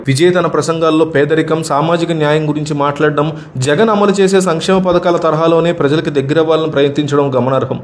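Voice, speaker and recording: A man's voice: a little high, a little mellow. A man from or reads a scripted news commentary as a voice-over narrator.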